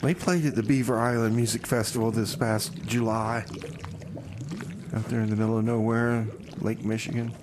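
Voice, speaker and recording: A man's voice: mid-range voice, level tone, moderate pace, background noise. A man hosting a music radio show as DJ, casually announcing and bantering in a comic style.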